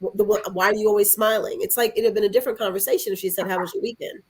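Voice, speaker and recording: A woman's voice: mid-range voice, fairly even intonation, very fast, darkish tone, husky. A woman talking reflectively in a conversational podcast style, earnest, often repeating phrases and posing questions. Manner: earnest, rhetorical questions and repetition. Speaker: podcast guest.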